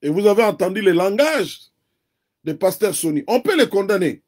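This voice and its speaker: A man with a Congolese accent and a notably high voice for a man. He speaks earnestly and builds his case step by step, repeating phrases for emphasis. His first language is Kikongo. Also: big pitch swings, moderate pace. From Democratic Republic of the Congo. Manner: earnest, argues point by point with repetition. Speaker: interview guest. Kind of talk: earnest conversational commentary.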